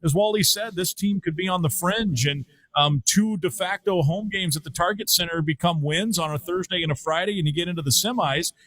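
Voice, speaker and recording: A man's voice: high voice, fairly quick, few pauses, a bit bright, poor audio. A middle-aged man from Iowa, a radio play-by-play broadcaster chatting about college basketball, thoughtful with frequent fillers and restarts. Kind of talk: casual sports talk.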